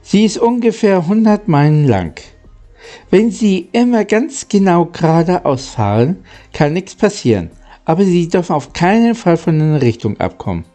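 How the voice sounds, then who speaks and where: A man reading the part of a polite, earnest guide who explains gravely and formally, halting at times, in a storybook. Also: mid-range voice, very animated, dark tone, soft background music, voice pushed up, lightly acted. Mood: earnest warning.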